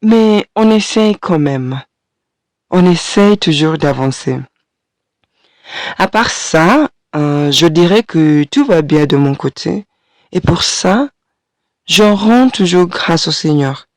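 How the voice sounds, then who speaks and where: Slow, hoarse woman podcaster. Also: on the low side, lively intonation, no room sound, clean studio sound. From Kenya. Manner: slow, gentle, encouraging, with hesitant pauses. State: hoarse from a cold. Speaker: podcast host and French teacher. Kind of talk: solo podcast monologue addressing the listener. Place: small home studio. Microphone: studio microphone.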